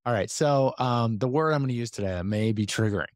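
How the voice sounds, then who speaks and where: Male podcast host, mid pitch, slightly hoarse, energetic and chatty, dry studio sound. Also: fast, no echo. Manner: energetic, chatty, many fillers and asides. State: slightly hoarse from losing his voice. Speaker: podcast host and business coach. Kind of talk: casual talk to a community call.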